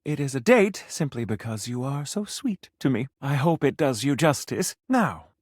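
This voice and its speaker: An actor playing a pompous, flirty food critic in a video game, with formal, theatrical phrasing and French flourishes. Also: male voice, medium pitch, big pitch swings, normal speed, no echo, studio quality. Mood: grand, sentimental. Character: pompous, flamboyant male food critic, flirty gourmand dandy. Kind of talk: video game character acting.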